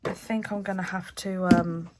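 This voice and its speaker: A woman's voice: lowish voice, fairly even intonation, normal speed, heavy background noise. A woman hosting a craft vlog, chatting and thinking aloud as she works, a bit stuffy with a cold. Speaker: craft channel host. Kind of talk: casual crafting vlog talk.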